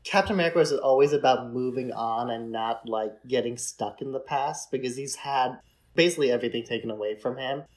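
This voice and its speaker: A man's voice: mid-range voice, fairly quick. A man co-hosting a casual discussion podcast, speaking informally and peppering his sentences with filler words. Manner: informal, frequent use of "like". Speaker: podcast co-host.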